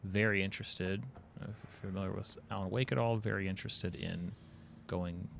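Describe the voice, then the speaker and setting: A man's voice: sits low, steady tone, fairly quick, creaky, slight background noise. A man streaming a video game, chatting casually with viewers and thinking aloud as he plays. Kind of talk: casual live-stream commentary.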